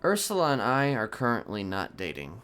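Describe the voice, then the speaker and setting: A man playing a conflicted prison inmate trying to reform, improvising in a halting, stammering way. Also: mid-range voice, wildly swooping pitch, fairly bright tone, clean tone, faint music behind. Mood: hesitant, earnest. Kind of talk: improvised online roleplay.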